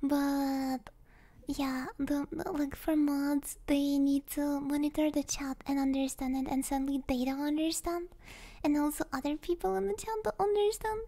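A young woman, a native Russian speaker, chatting softly and hesitantly in a gentle, playful ASMR livestream style, often dropping into a whisper, close on the microphone. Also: high voice, steady tone, moderate pace. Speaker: ASMR streamer. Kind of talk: soft ASMR livestream chat. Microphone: close binaural microphone.

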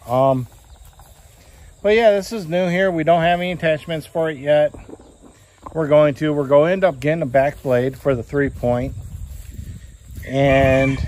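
A man's voice: medium pitch, a little hiss or hum. A man in his forties giving a rambling, folksy walkaround of a tractor, talking into a phone held at arm's length outside on a farm. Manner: rambling, folksy, with fillers like "uh" and "you know". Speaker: homesteading vlogger. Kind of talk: casual walkaround vlog. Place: outdoors on a rural farm lawn. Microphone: phone camera at arm's length.

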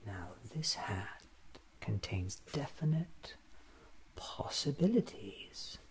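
Very slow, hushed man in ASMR roleplay. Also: fairly low pitch, big pitch swings, poor audio. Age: 30s. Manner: hushed, slipping into whispers, deliberate, musing. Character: eccentric, fussy time-travelling doctor talking to himself. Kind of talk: ASMR roleplay, soft-spoken monologue. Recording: clearly audible background noise.

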